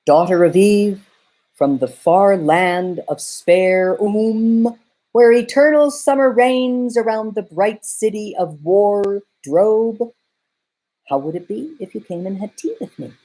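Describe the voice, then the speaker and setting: A woman voicing a polite, excitable male faun in a storybook reading, with fussy, old-fashioned courtesy. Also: medium pitch, slow pace, pitched above the performer's own voice, rough recording. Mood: wistful. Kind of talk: storybook read-aloud.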